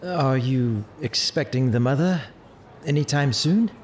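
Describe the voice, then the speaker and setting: A man's voice: mid-range voice, measured pace, lightly acted. An actor playing a courteous, attentive man who assists travellers in an audio drama, polite and obliging.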